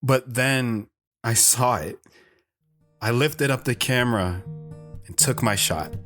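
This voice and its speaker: A man playing a shy, hesitant wanderer who narrates his own story in an audio drama, reflective in tone, with soft guitar underneath. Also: mid-range voice, slow pace. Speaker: narrator and guest.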